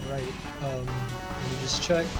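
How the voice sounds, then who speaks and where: A marathon host announces donations in a casual way. The voice is medium in pitch and the pace is markedly slow. Game music plays clearly underneath. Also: fairly even intonation. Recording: game music playing behind voice. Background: video game music.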